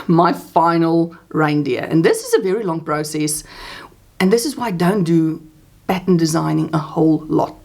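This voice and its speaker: Female crafting host, Afrikaans first language, low gravelly voice, chatty and meandering. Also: darkish tone. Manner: chatty, rambling with many restarts and fillers. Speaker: crochet channel host. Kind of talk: casual crafting chat.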